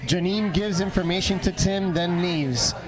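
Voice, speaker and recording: A man's voice: sits high, unhurried. A man reading scripted narration for a comic radio play.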